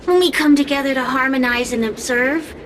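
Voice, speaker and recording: A woman's voice: high-pitched, steady tone, a little fast, fairly bright tone, slight background noise. A woman playing a sly, menacing female fire spirit in a video game, theatrical and condescending. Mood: disdainful, grand. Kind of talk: video game cutscene voice acting. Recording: game music under the voice.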